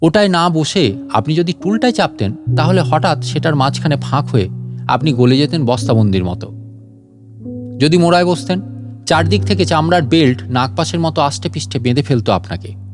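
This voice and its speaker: A man voicing a sly gentleman inventor with a mock-courteous, teasing manner in a story reading. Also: a little high, lively intonation, moderate pace, over music, natural voice. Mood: smug. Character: sly, mock-polite gentleman inventor who traps thieves.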